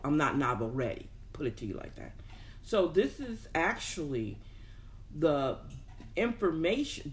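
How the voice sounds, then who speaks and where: The speaker is a host talking casually and motivationally to followers. The voice sits at a medium pitch. The delivery is informal, thought out aloud, with words repeated to drive a point home. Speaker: host of a personal development show. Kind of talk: casual motivational talk to an audience.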